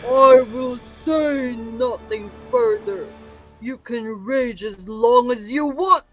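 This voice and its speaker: A performer playing a grave, defiant blind prophet in a readers' theatre tragedy, speaking deliberately and with foreboding. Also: mid-range voice, expressive, a bit bright, faint music behind. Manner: deliberate, ominous.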